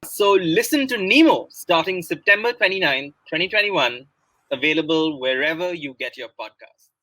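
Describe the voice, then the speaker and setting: A man's voice: on the high side, lively intonation, moderate pace, sharp tone, no echo, poor audio. A man from India with an Indian accent, a writer presenting his project in a spoken promotional talk. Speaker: writer and guest speaker.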